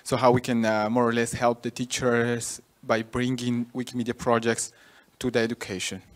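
A man's voice: medium pitch, level tone, moderate pace. A young man from Peru, a native Quechua speaker, giving a conference talk with many fillers and restarts. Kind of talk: conference presentation. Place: conference room with audience.

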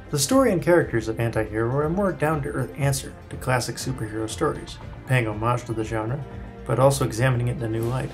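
A man with a medium-pitched voice narrates a project pitch. The delivery is scripted and promotional, with soft music underneath. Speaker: writer-director presenting his project.

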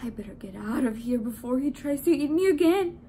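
A woman voicing an excitable, indignant little male donut in a children's storybook, with dramatic exclamations. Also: sits high, fairly quick, slight background noise. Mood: worried, determined. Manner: dramatic, exclaiming, sometimes frantically whispering.

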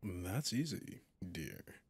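A man playing a possessive, mocking male demon in an ASMR roleplay, seductive and sinister, with a breathy, often whispering, teasing drawl. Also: low-pitched, sing-song, drawn-out words, no echo, heavily acted. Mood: coaxing.

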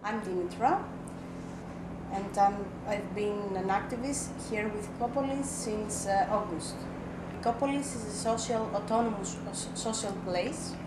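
A woman from Greece, an activist, explains her group in a halting way with frequent fillers. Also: mid-range voice. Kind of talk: interview answer. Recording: echoey room, mic far off, noisy.

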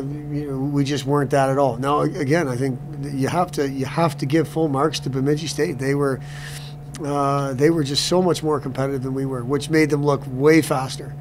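A middle-aged male hockey coach answers reporters after a game in a steady, measured way. He stumbles and repeats words as he works through his answers. A clear hum runs behind his voice. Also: medium pitch. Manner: halting, repeats words, many restarts. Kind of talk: postgame press conference. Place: press room before a sponsor backdrop.

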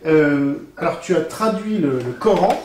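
A man's voice: fairly high pitch, expressive, measured pace, darkish tone. A man in his thirties asking informal interview questions with hesitant phrasing. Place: small room at a table, indoors. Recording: echoey room, distant mic, background noise.

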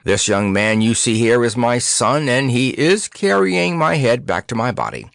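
A man's voice: medium pitch, big pitch swings, normal speed, gravelly, fairly bright tone, no echo, studio quality. A man voicing the drowsy, gracious severed head of a storybook king, drawling in a courtly manner.

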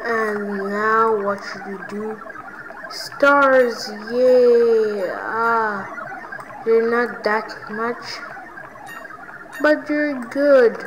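Slow-talking excitable gamer woman. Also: on the high side, background noise. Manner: excitable, reacts loudly to wins and deaths. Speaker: gaming channel host. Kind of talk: let's play commentary.

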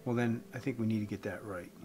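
A man in his fifties giving an earnest evangelistic talk to camera as a host, phrasing deliberately with emphasis. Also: fairly low pitch, darkish tone. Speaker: host giving a faith message. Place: indoors, room with wooden beams. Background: guitar music.

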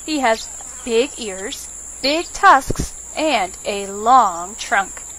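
A woman reads a preschool story in a high, very lively voice. She speaks to small children with simple questions and prompts. There is clear background noise. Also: drawn-out words. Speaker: children's librarian reading stories.

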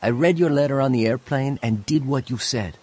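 A man's voice: medium pitch, fairly quick, darkish tone, lightly acted. An actor playing an earnest, troubled young navy man in a confessional radio drama. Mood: joyful relief. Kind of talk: radio drama narration and acting. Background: music.

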